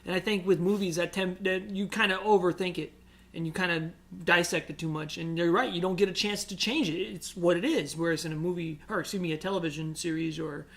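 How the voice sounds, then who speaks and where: High-voiced, fast-talking man in casual chat. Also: fairly even intonation, a bit bright. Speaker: podcast co-host. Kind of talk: casual chat, moderating discussion.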